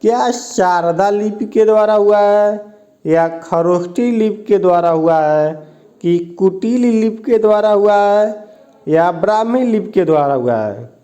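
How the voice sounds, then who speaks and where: A man's voice: sits high, animated, a little slow, mellow, muffled recording. A man teaching an exam prep lesson, reading each question and its options, then repeating the answer back as a question. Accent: Hindi, north Indian.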